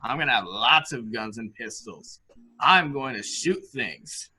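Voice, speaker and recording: An actor plays a childlike, dangerous grown man in an amateur stage-style mystery. His voice is extremely bright and forceful, with a boyish, taunting eagerness. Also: mid-range voice, lively intonation, unhurried, husky, full character voice. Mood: gloating, taunting. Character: childlike, unstable grown man thrilled by guns and killing. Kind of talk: amateur radio-play acting.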